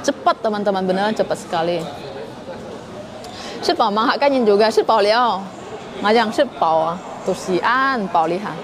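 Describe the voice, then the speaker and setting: A woman's voice: medium pitch, expressive, moderate pace, pauses often, a crowd in the background. A young woman from Kalimantan with a Hakka-influenced Indonesian accent, chatting casually with viewers on a live stream. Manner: chatty, addresses viewers as friends.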